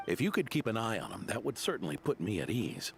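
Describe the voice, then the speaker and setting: Deep-voiced, genial old knight. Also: male voice, wildly swooping pitch, fast, creaky, background noise, full character voice. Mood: thoughtful. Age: older. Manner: folksy, genial. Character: kindly old retired knight, hearty and wistful. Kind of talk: video game voice acting.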